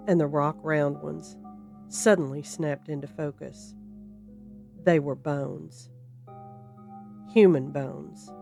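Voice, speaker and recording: Narrator for horror fiction: dramatic, deliberate, suspenseful storytelling over a music bed. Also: mid-range voice.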